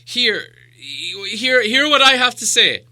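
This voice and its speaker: A man's voice: very high voice, very animated, takes it slowly, sharp tone, pitched above the performer's own voice, heavily acted. A man voicing a small, timid storybook hobbit who stammers and dithers in a fussy, flustered way. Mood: blurting. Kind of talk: storybook character voice.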